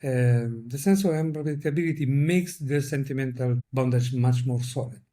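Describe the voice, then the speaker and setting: A middle-aged man from Italy, whose first language is Italian, speaks English with an Italian accent as an interview guest. He talks in a thoughtful, measured way and searches for words. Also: mid-range voice, dark tone. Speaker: guest, veterinarian. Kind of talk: reflective interview talk.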